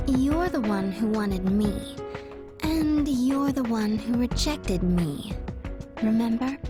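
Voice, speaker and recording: A coy, teasing young succubus, high-voiced and in no hurry, dropping into breathy whispers. Her lines sit in a game cutscene with music clearly under them. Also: heavily acted. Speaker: game character.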